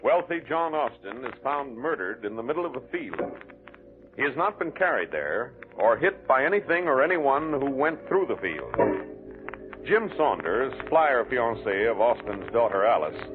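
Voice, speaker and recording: An actor as a dramatic mystery announcer, reading scripted narration in 1940s radio style. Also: male voice, medium pitch, very animated, normal speed, natural voice. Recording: narrow-band old radio recording. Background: music.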